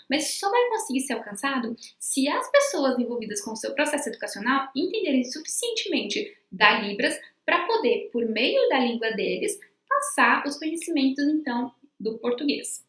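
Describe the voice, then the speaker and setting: A woman's voice: on the high side, fairly bright tone, roomy sound. A Brazilian woman professor giving a remote lecture, explaining step by step with frequent fillers and restarts. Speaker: university professor.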